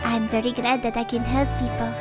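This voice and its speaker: A woman playing a gentle, modest young female healer, polite and slightly formal, in a fan audio drama. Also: a little high, little pitch movement, brisk, over loud music, close to an everyday voice. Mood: calm, caring.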